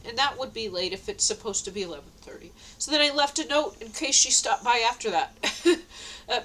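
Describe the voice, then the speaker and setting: A woman's voice: on the high side, a bit bright, slight background noise, poor audio. A woman with a stuffy nose, talking at home in a rambling, meandering way, full of fillers and restarts. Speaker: vlogger. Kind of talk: rambling personal diary talk. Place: at home indoors.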